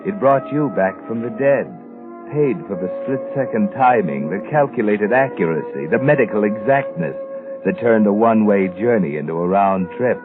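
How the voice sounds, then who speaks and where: Man doing creepy all-knowing suspense narrator, lowish taunting voice, old radio sound w/ music behind. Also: lively intonation, moderate pace, natural voice. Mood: ominous. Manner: mocking second-person address. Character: sinister, taunting omniscient male narrator, ominous and knowing. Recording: muffled 1940s radio recording with music.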